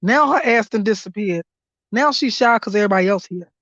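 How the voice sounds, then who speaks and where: A hoarse woman hosting a live stream, talking in casual with loud, laughing, profane hype commentary. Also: medium pitch, animated, fairly quick, dry recording. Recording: dry, dull lo-fi stream audio.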